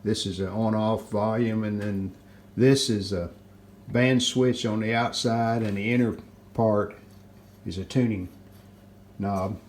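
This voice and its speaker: An older man giving a hands-on repair walkthrough in plain-spoken, halting phrases. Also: on the low side, fairly even intonation, a little mellow, a little hiss or hum.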